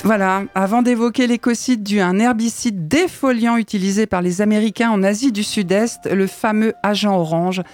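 A woman presents a local radio show in a mid-pitched voice, chatty and brisk as she introduces the program and her guests. Faint music plays underneath. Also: a little mellow. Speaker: radio host.

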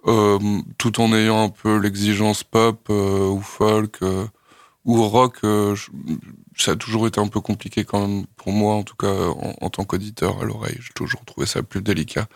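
A young man from France, a singer-songwriter, answering radio interview questions in a thoughtful, hesitant way with many pauses and fillers. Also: fairly low pitch, little pitch movement, creaky, dry recording, clean studio sound.

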